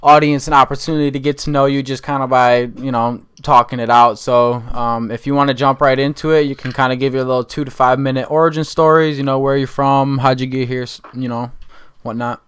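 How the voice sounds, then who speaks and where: A man in his twenties hosting a relaxed interview show, easygoing and rambling with lots of fillers. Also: mid-range voice, brisk, clean tone. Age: 20s. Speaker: podcast host. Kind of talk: casual interview chat.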